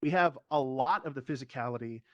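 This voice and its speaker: A man's voice: mid-range voice, a little fast, dry recording. A man who performs and teaches improv, explaining conversationally as a panelist in an online discussion. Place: virtual reality social platform meetup, online. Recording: dull lo-fi online voice chat.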